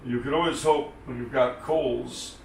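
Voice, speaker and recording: A man's voice: mid-range voice, fairly even intonation, unhurried, creaky. A man whose first language is French, giving dry, sarcastic, wide-ranging answers as an interview guest. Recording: echoey room, distant mic, faint noise.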